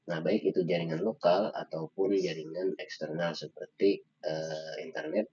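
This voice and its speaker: A young man giving a halting student slide presentation, pausing often on fillers. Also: sits low, lively intonation, gravelly, dark tone. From Indonesia. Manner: halting, with frequent filler pauses. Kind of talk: slide presentation of an article review. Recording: dull lo-fi microphone sound.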